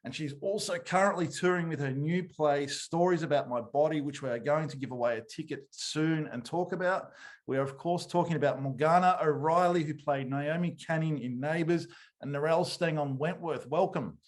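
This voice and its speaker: A man's voice: on the high side, moderate pace. A man with an Australian accent hosting a podcast and asking questions in a casual interview style.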